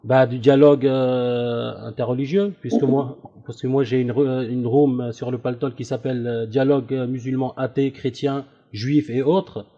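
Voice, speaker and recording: A man who hosts an online debate room, talking casually on a call and explaining things in a hesitant, halting way. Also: medium pitch, fairly even intonation, dark tone. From Italy. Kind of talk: casual phone conversation. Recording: dull lo-fi recorded call.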